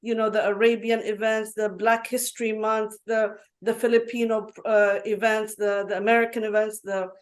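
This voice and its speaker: A woman speaking as a guest on an online conference call, earnest and halting, with many fillers and restarts. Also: medium pitch, flat delivery. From Yemen. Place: home in Dubai. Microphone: video call.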